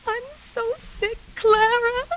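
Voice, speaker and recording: A deranged, ailing woman in a vintage radio thriller. Her voice is very high and piercing, and she drags her words out haltingly with bitter menace. The old recording is noisy. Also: little pitch movement, a bit bright, heavily acted. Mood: hysterical, pained. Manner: halting, melodramatic, repeats herself. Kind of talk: 1940s radio thriller acting. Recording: hissy old radio recording with background noise.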